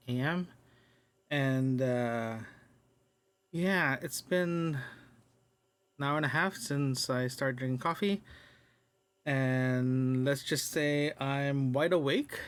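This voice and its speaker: Halting man rambling casually. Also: medium pitch, fairly bright tone. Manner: halting, rambling, thinking aloud. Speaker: podcast host. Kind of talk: casual audio diary.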